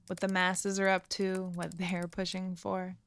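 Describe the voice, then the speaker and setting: A woman's voice: fairly low pitch, monotone, a little slow, fairly bright tone. A woman playing a wistful, uneasy young woman who lives off-grid, speaking tentatively and thoughtfully in an audio drama. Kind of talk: naturalistic audio drama dialogue.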